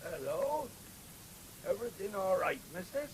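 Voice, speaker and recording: A woman's voice: mid-range voice, lively intonation, a little slow, natural voice, rough recording. A woman playing a concerned, plain-spoken country worker with a rural English accent in a radio drama. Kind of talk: 1970s British radio drama acting. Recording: faintly hissy old radio broadcast.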